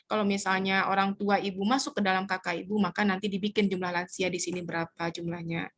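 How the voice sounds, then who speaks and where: A woman explaining step by step how to fill in a community household form, pausing often to search for words. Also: medium pitch, flat delivery. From Indonesia. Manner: hesitant, searches for words with many fillers. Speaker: community trainer.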